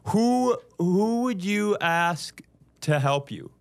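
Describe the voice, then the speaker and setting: A man's voice: high voice, animated, slow. A man co-hosting a comedy podcast, bantering casually in a teasing, mock-prosecutorial way.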